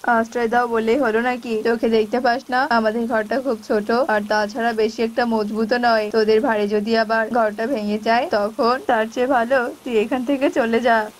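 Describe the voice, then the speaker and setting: Casting: woman as selfish, bullying male bird neighbour for cartoon fable, scolding and wheedling, highish pitch. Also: flat delivery, normal speed, a little hiss or hum, close to an everyday voice. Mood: gruff refusal. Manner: scolding, wheedling when in need. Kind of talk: animated folk tale voice acting.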